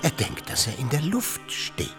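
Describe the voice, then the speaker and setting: A man's voice: fairly high pitch, very animated, normal speed. An actor playing an eccentric, insistent male party guest, probing and theatrical, in a radio play. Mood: knowing, intrigued. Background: music.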